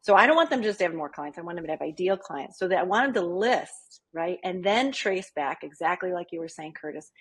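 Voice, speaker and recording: A woman's voice: on the low side, animated, a little fast, hardly any pauses, slightly rough. A woman, a small business coach, speaking as a guest on a podcast interview in a chatty way that keeps checking in with her listeners. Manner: chatty, keeps asking "right?".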